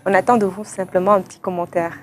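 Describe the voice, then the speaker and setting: Brisk woman interviewer. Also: mid-range voice, level tone, a little hiss or hum. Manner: brisk, affirming with short acknowledgements. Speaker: interviewer, show host. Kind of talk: structured interview questions.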